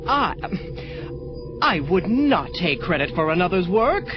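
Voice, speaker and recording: Man as flustered angel official, highish voice, wildly swooping intonation, stammering, halting, defensive, audio drama. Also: sing-song. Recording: muffled lo-fi audio drama with music. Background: prominent music.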